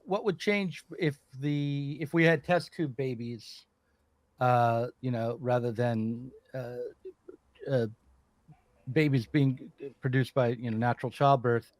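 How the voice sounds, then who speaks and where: A man's voice: medium pitch, fairly even intonation, slow pace, no echo. Hesitant male podcast host. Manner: searching for words, many fillers. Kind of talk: podcast discussion.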